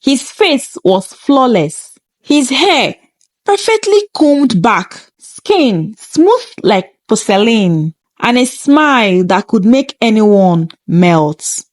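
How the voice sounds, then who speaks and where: A woman's voice: fairly high pitch, big pitch swings, slow pace, no echo. A woman reading a scripted first-person story in a dramatic, suspenseful manner.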